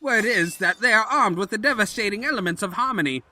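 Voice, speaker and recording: An actor playing an elderly, loyal pony chancellor who is fretful, formal and obsequious, in comedic audio drama. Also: male voice, high-pitched, animated, fast, sharp tone, exaggerated voice. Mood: anxious, deferential.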